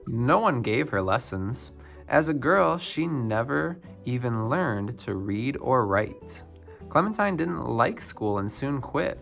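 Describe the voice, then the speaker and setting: A man reading a children's picture book biography aloud, phrase by phrase with expressive emphasis. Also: medium pitch, takes it slowly, vocal fry, soft background music. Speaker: storybook reader.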